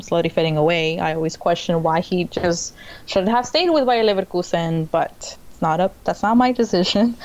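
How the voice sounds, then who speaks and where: A woman co-hosting a football podcast, giving a relaxed rundown of results with plenty of ums. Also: medium pitch, dull audio. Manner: relaxed rundown with frequent ums and quick laughs. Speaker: podcast co-host. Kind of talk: casual football chat.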